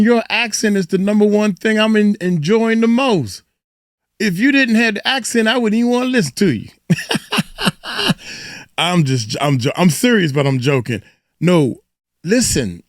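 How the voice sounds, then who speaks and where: Sassy, animated woman, dry close sound. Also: mid-range voice, no room sound. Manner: sassy, playful, emphatic. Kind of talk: casual commentary.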